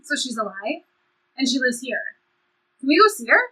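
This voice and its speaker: A woman's voice: sits high, sing-song, normal speed, pushed and bright, husky, full character voice, rough recording. A woman playing a blunt, impulsive seventeen-year-old girl in a stage play reading. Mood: blunt, impatient. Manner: blunt, impulsive teenage speech.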